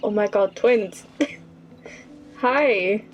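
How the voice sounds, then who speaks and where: A woman's voice: medium pitch, extremely slow, soft background music, rough recording. A woman giving casual, playful commentary while playing a game, self-deprecating and talking back to it. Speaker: gaming video creator.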